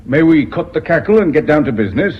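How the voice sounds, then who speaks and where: Husky, blunt businessman. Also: a little high, very animated, quick pace. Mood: brusque, domineering. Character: blunt, contemptuous, hard-bitten Northern businessman. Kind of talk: British radio drama acting. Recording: dull, reverberant radio drama recording.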